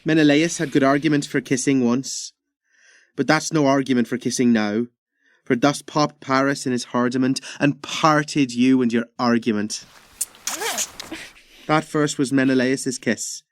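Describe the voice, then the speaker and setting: A man's voice: mid-range voice, fairly even intonation, unhurried, pauses often, a little hiss or hum, natural voice. A man playing a brash, mocking Greek soldier in a Shakespearean audio drama.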